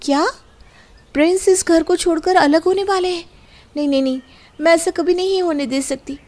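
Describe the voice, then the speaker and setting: A woman's voice: extremely high pitch, moderate pace, a little mellow, pitched above the performer's own voice. A woman playing an earnest, determined young new bride in a cartoon family drama. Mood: alarmed.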